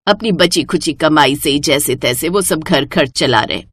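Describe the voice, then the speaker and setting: A woman's voice: sits low, moderate pace, a bit bright, slightly rough, pitched below the performer's own voice. A woman voicing a weary, worried old village mother-in-law in a cartoon story, lamenting with sighs. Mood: weary, sad. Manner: sighing, lamenting.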